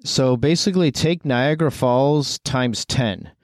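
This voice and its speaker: A man's voice: mid-range voice, a little slow, no echo, clean studio sound. A man talking enthusiastically in a casual podcast chat, with frequent fillers.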